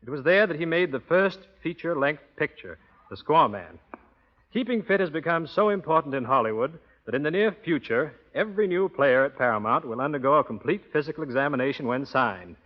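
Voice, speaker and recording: A man's voice: on the high side, expressive, fairly quick. An American man giving a scripted promotional talk as a guest expert on 1930s radio. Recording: muffled old radio broadcast.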